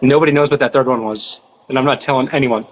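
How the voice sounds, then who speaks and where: A man hosting a casual livestream and screening callers with quick set questions. He sounds nauseous after recent surgery. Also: mid-range voice, steady tone, dull audio. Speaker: livestream host. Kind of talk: casual live-stream chat with callers.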